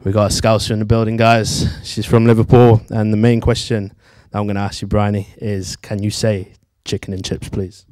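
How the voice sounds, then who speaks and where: A man's voice: on the low side, little pitch movement, normal speed, hardly any pauses, a little mellow, no echo. A man in his twenties with a Manchester accent, chattily hosting and interviewing on a handheld microphone. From Manchester, England. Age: 20s. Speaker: studio show host. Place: dark studio theatre with tiered seats.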